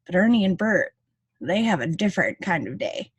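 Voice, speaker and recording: A woman reading a picture book aloud to young children as their teacher, with chatty asides and expressive dialogue. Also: fairly low pitch, moderate pace, husky.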